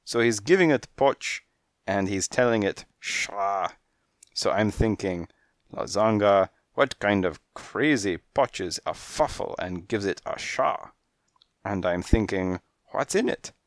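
A man's voice: fairly low pitch, no room sound, clean studio sound. A man voicing a dramatic lady cat fortune-teller. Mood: explaining. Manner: broken English, dramatic, quick to take offence. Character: theatrical female cat fortune-teller, touchy and grandiose. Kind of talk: storybook character voice.

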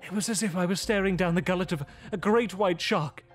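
Man acting haunted horror narrator, higher-pitched. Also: a little fast, soft background music, natural voice. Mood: grave, haunted. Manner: grave, theatrical storytelling. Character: frightened young man recounting his vampire horror. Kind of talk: dramatic first-person gothic horror narration.

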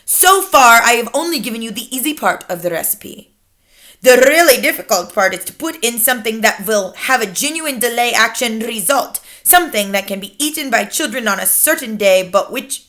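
A woman's voice: a little high, bright, forceful tone. A woman voicing the imperious, scornful leader of a coven of witches, in a commanding, declamatory manner.

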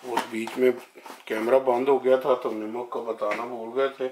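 A man's voice: medium pitch, little pitch movement, moderate pace, noisy background. A middle-aged man, explaining a recipe step by step in a casual, friendly way in his home kitchen. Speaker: home cook. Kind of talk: casual cooking vlog. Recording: echoey kitchen.

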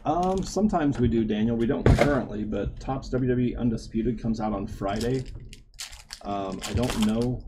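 A man in his thirties hosting a live card-breaking stream, reading names in a low running murmur, a little hoarse. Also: moderate pace, heavy background noise. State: slightly hoarse. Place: small card shop studio.

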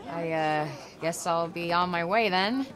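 An actress playing a brash, sardonic young adventurer in a video game, with a casual, slangy, sarcastic way of talking. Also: female voice, lowish voice, unhurried, crowd noise, lightly acted. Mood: irritated. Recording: music behind the voice.

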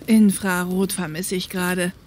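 A woman's voice: fairly low pitch, level tone, unhurried, darkish tone. A woman playing a streetwise young woman driver, wry and dreamy, in a German audio drama. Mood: weary, wry.

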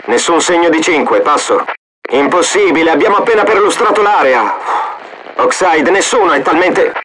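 A man voicing a brisk mercenary field soldier. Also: fairly high pitch, lively intonation, background noise, close to an everyday voice. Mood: terse. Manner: brisk radio reports. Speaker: squad soldier on radio. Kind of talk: video game voice acting.

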